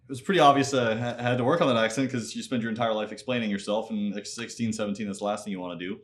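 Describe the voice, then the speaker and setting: Low-voiced American-sounding man reflecting on his life. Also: steady tone, rapid-fire. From Nepal. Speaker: interview guest, MBA student and entrepreneur. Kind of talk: reflective conversational storytelling.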